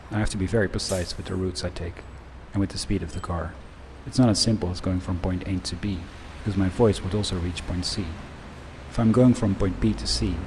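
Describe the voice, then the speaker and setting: A man delivering a scripted first-person monologue in a measured, reflective way. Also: low voice, a little mellow, a little hiss or hum. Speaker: narrator.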